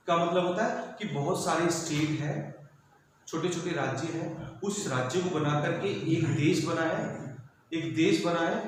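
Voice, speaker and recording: A man in a classroom lecture walks students through civics ideas, repeating each point and calling them "beta". His voice sits a little high for a man. The recording rings with heavy room echo over faint background noise. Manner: explanatory, repeats points, calls students "beta", mixes English terms into Hindi. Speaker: school teacher.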